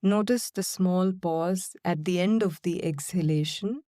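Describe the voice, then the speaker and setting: A woman guiding a body-scan and breathing meditation in slow, calm, soothing instructions with long pauses. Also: fairly low pitch, no room sound, studio quality. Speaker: meditation guide. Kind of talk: guided meditation.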